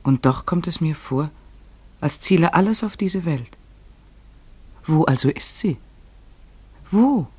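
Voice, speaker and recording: An actor in a German radio play playing an earnest, searching man, with a husky voice and literary delivery. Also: a little high, lively intonation, takes it slowly, pauses often, darkish tone, heavily acted. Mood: questioning, troubled. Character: earnest, searching male narrator haunted by a mystery.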